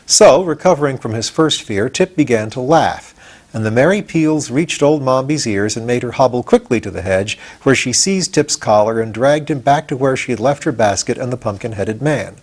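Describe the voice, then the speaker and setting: A man, reading a children's story aloud as expressive audiobook narration. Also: medium pitch, moderate pace. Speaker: audiobook reader.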